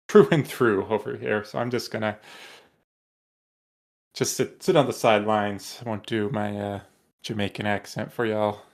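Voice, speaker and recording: A man's voice: on the low side, steady tone, a little slow, vocal fry, pauses often. A young man co-hosting a podcast, chatting in an easygoing way with chuckling asides. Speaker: podcast co-host. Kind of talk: casual chat.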